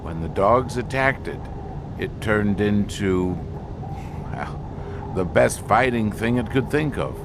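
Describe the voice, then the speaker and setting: Grave male scientist played by a man, audio drama. Lowish, very varied intonation, deliberate halting pauses, clear background noise. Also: lively intonation. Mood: grave, haunted. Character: grave male expedition scientist explaining an alien menace.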